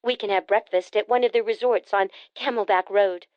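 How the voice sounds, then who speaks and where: A woman narrator voicing a brisk, teasing adult sister in an audiobook, pushy and quick to needle. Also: medium pitch, steady tone, no room sound, dull audio, lightly acted. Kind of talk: audiobook character voice.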